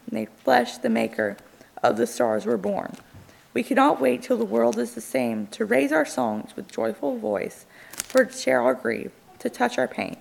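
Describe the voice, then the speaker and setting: A woman with a fairly high voice reads scripture and poetry for a church service. Her voice is heavily creaky and husky, and her delivery is solemn and measured, declaimed like a formal reading. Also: darkish tone, low-quality recording. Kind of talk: solemn read-aloud of scripture and verse.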